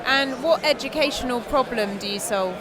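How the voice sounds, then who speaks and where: A woman's voice: sits high, moderate pace, crowd noise. A woman hosting a podcast, casually interviewing guests on location at a busy conference. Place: busy tech conference venue. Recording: noisy event floor, constant background din.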